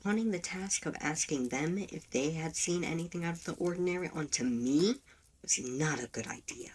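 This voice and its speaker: Cocky male demon for audio roleplay, acted out, smug and quick to argue, mid pitch, slightly distant, faintly noisy recording. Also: slightly rough, lightly acted. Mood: irritated, insistent. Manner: smug, taunting, argumentative. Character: cocky, teasing, hot-headed male demon. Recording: distant mic with faint background noise.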